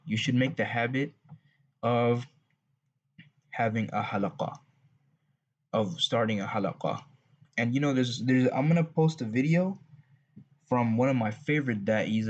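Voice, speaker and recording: A man with a heavy Texas accent giving loose, rambling religious advice to fathers, with many restarts and asides. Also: mid-range voice. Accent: Southern US, Texas. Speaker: religious channel host. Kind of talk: unscripted advice talk. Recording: dull lo-fi recording.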